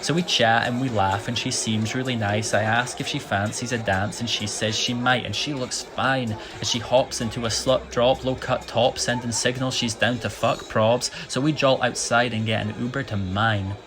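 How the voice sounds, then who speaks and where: A man's voice: mid-range voice, steady tone, normal speed, hardly any pauses, over music. Young British male slam poet; rhymed, rhythmic, theatrical storytelling. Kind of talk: rhymed slam poetry performance.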